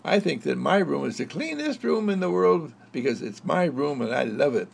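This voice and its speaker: A man's voice: on the high side, sing-song, moderate pace, vocal fry, husky, pitched above the performer's own voice, exaggerated voice. A man voicing a proud, tidy young girl in a prim manner.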